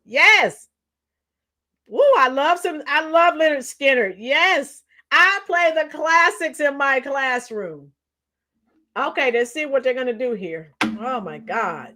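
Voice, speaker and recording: Hoarse middle-aged woman, high voice, unhurried livestream chatter. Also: animated, sharp tone. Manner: chatty, reads out comments and sings along to songs. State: hoarse, losing her voice. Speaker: livestream host. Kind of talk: casual livestream chat with viewers.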